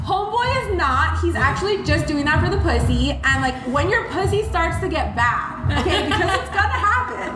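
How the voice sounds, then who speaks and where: A young woman, born in the US and partly raised in Mexico, whose first language is Spanish, talking bluntly and casually with lots of "like.". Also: sits high. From born in the United States, raised partly in Mexico. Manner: candid, blunt, peppered with "like". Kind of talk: casual chat. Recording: echoey room with loud music behind.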